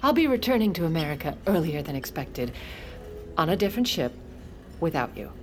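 Very low-voiced, sardonic widow. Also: female voice, very animated, a little fast, faint music behind. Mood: dry, curt. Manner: dry, exasperated, sardonic. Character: witty, dry, exasperated widowed socialite. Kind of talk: comedic audio fiction acting.

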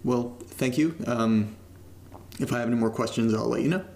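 A young man played by an actor talks into his recorder in a found-footage horror drama. His voice is mid-pitched with plenty of fry, and he rambles informally, sweary and self-deprecating. A faint noise sits behind him. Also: moderate pace, darkish tone, natural voice. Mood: polite, casual. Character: casual, upbeat young man documenting a creepy new house.

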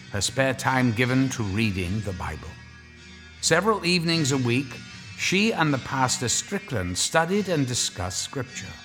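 A man's voice: medium pitch, fairly bright tone. An American man delivering scripted dramatic narration for an audio drama.

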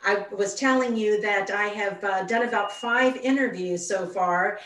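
A woman's voice: mid-range voice, unhurried, fairly bright tone. Program host, woman, eager interviewer, distant roomy sound. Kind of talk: interview hosting.

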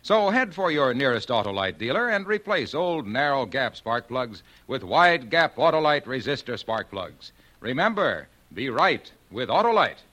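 An American man pitches a product in 1940s radio announcer style. His voice is bright and forceful, with lively, swooping emphasis and a salesmanlike drive. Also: normal speed. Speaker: commercial announcer.

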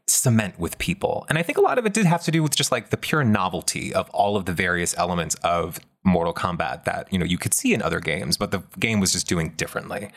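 A man with a low, creaky voice talks through ideas as a show co-host. His delivery is analytical and thoughtful, in long sentences with many qualifications. The fry gives his speech a gravelly edge. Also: a bit bright. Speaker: co-host of a video game discussion show. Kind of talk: analytical pop-culture discussion.

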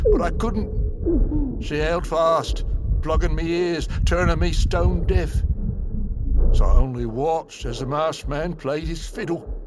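An actor playing a very old, haunted survivor, telling his tale gravely and theatrically in an audio drama. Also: fairly high pitch, noisy background. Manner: grave, theatrical, emphatic storytelling. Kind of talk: dramatic audio fiction narration. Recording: music behind the voice.

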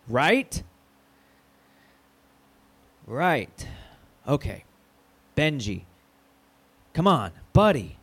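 An actor playing a placating, foul-mouthed radio station manager who talks in halting, cajoling corporate speak in an audio drama. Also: male voice, on the high side, exaggerated voice. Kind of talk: naturalistic audio drama acting.

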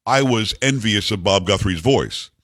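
Booming deep-voiced male radio host. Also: animated, a little slow, no echo. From New York. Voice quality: deep bass voice. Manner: loud, upbeat, calls to the studio crew. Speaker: radio talk show host. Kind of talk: energetic talk radio monologue.

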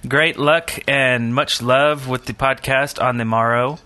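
A man's voice: medium pitch, steady tone, a little slow, pushed and bright. A man co-hosting a podcast, chatting in an informal, rambling and joking way. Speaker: podcast co-host. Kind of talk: casual group podcast chat.

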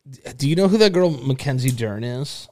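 A man's voice: mid-range voice, expressive, a little fast, a little mellow, no echo. A man co-hosting a casual podcast, talking in a laid-back, slangy way. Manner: laid-back, slangy talk with lots of "like" and "dude". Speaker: podcast co-host.

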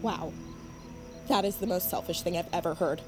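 A woman's voice: on the low side, normal speed, music in the background, lightly acted. A young woman playing an exasperated, accusing but loyal girl in an audio drama, indignant and dramatic. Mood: indignant, hurt.

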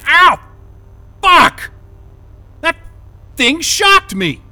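An actor playing a flustered, cynical jobless tech worker in an audio drama, blurting and halting as he is questioned. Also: very high-pitched, big pitch swings, sharp tone, full character voice. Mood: pained, indignant. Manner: exasperated, sweary, blurting and halting. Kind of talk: naturalistic audio drama acting.

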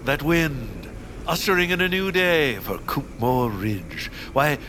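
An actor playing a grandiose, scheming villain who declaims pompously in a theatrical audio drama. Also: male voice, on the high side, sing-song, slow, noisy background. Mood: gloating, scheming. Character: grandiose scheming male villain, gloating tower owner. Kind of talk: theatrical audio drama acting with musical numbers.

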